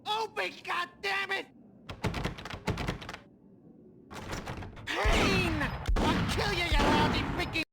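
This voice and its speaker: An actor playing a cowardly, panicky mobster in a video game, frantic, whiny and loud. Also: male voice, extremely high pitch, a little slow, creaky, soft background music, exaggerated voice. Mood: furious. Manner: frantic, whiny, foul-mouthed.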